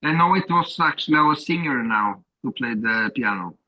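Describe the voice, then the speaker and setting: A man's voice: medium pitch, expressive, normal speed, bright, forceful tone, dry recording, muffled recording. A man whose first language is Norwegian, a drummer answering interview questions in hesitant, plain English full of fillers. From Norway.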